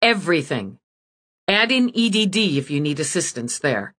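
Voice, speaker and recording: A woman's voice: sits low, very animated, normal speed, a bit bright, full character voice. A woman voicing a hard-driving female police lieutenant who gives clipped orders. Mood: commanding. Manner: clipped, commanding.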